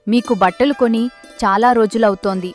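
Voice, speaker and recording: A woman playing a gentle, grateful poor village wife and mother in a moral story cartoon. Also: on the high side, fairly even intonation, a little fast, lightly acted. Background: background music.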